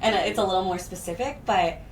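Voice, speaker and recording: A woman in her thirties talking in casual, expressive chat as a podcast guest. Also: medium pitch, fast. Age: 30s. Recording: distant mic, background noise.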